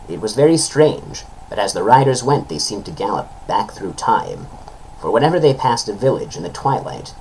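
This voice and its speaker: Man solemnly reading, with noisy ambience behind. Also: medium pitch. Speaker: narrator, reader of a story. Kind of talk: dramatic literary audiobook reading.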